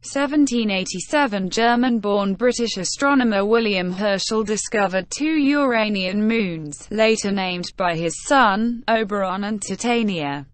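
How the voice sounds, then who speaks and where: A woman's voice: mid-range voice, measured pace, few pauses, no room sound. A synthetic female text-to-speech voice reading written text aloud.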